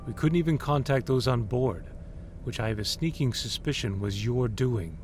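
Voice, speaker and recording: A cold, measured superior officer, played by a man, pressing a subordinate with calm accusations. Music plays clearly beneath the scene. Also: mid-range voice, lightly acted. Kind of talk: audio drama acting.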